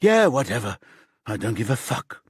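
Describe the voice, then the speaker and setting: A man voicing a desperate, hostile teenage girl in an audiobook thriller, hoarse and breathless, prone to swearing outbursts. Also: medium pitch, very animated, moderate pace, dry recording, studio quality. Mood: hoarse, bitter.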